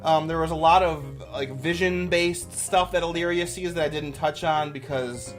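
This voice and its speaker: A man's voice: a little high, steady tone, moderate pace, soft background music. A man giving casual, off-the-cuff commentary as a YouTuber, with an enthusiastic, rambling manner.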